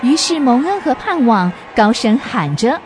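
A woman's voice: high voice, big pitch swings, measured pace, over music. A woman narrating a radio drama as a storyteller, reading from a script.

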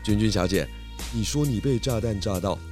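A performer playing a calm, shrewd, confident detective pig with measured delivery in a children's audio drama. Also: mid-range voice, soft background music, close to an everyday voice.

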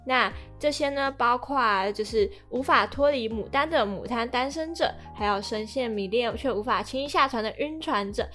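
A woman hosting a podcast, animated and self-deprecating, moving between scripted introduction and casual chat. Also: a little high, normal speed, few pauses, faint music behind. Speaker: podcast host.

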